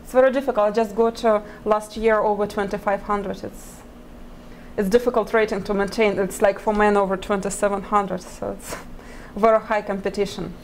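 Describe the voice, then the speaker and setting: A woman's voice: medium pitch, level tone, a little hiss or hum. Woman in her thirties, native Russian speaker. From Ukraine. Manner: hesitant, halting, laughs often between phrases. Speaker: chess player guest. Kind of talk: casual interview answers. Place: indoor press area before a sponsor backdrop. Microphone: desk microphone.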